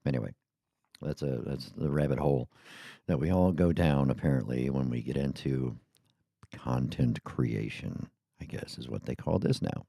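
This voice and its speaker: Super deep gravelly guy rambling on his podcast, dry little studio sound. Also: normal speed, mellow, no echo. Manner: rambling, chatty, goes off on tangents. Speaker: podcast host. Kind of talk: casual rambling monologue. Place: small home studio with sound panels. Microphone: studio microphone.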